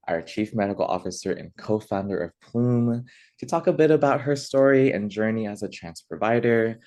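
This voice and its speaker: A low male-sounding voice hosting a conference conversation in an earnest, measured way. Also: a little mellow. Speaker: conference host and interviewer. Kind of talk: facilitated plenary conversation, opening remarks.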